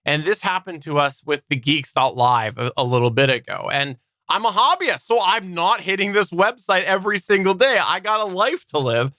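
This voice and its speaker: A man's voice: sits high, lively intonation, moderate pace, sharp tone, dry recording, studio quality. A man co-hosting a podcast, explaining things in an informal, conversational way. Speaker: podcast co-host. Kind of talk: casual explanatory podcast talk.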